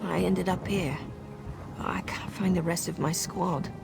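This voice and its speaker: Fantasy game marine sergeant, female, earnest, worried, resolute, creaky voice, faint music behind. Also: lowish voice, steady tone, measured pace. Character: stranded elf marine sergeant, resolute, worried for her squad. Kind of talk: fantasy video game dialogue.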